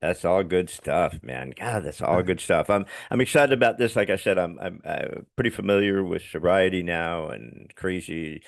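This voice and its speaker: Gravelly older man hosting a podcast. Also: moderate pace, few pauses, no room sound, clean studio sound. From Long Island. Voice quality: gravelly baritone with a slight lisp. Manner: enthusiastic, casual, calls guest buddy and dude. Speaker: podcast host. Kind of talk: casual podcast interview, reading a guest bio.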